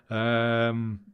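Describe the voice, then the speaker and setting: A man's voice: mid-range voice, smooth, highs cut off. A man hosting a live stream, chatting casually and rambling while he edits photos, often laughing and answering comments from chat. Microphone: new studio microphone.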